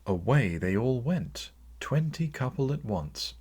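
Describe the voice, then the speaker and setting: A man narrating classic fiction for an audiobook in an expressive, theatrical storytelling manner. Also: mid-range voice, unhurried, breathy edge.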